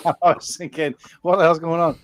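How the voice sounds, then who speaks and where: A man's voice: fairly high pitch, fairly even intonation, measured pace, dry recording. A Welsh man hosting a casual chat show, rambling and friendly, calling people mate. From Wales. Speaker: podcast host.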